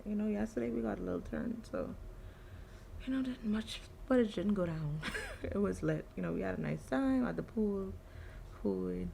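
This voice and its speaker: A young adult woman with a raspy voice chatting casually in a relaxed, slangy travel vlog. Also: medium pitch, normal speed, a little hiss or hum. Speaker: vlogger.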